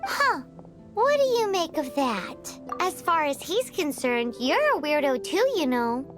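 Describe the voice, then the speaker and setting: A tiny fairy girl companion from an anime game, squeaky and very high. The childlike voice swoops up and down, bossy and excitable, spoken slowly over clear background music. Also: heavily acted. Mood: puzzled. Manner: speaks of herself in the third person. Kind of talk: anime video game voice acting.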